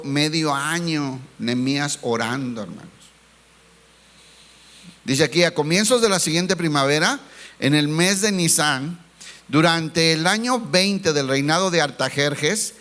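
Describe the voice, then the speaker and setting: A man preaching a sermon as a pastor. He asks the congregation rhetorical questions, calls them brothers, and slows down to stress key words. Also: fairly high pitch, a bit bright.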